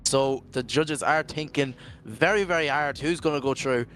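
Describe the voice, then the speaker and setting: Jokey Scottish man, voice going hoarse. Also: on the high side, normal speed, fairly bright tone, soft background music. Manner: jokey, rambling banter. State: losing his voice. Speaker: drift commentator. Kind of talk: live esports commentary.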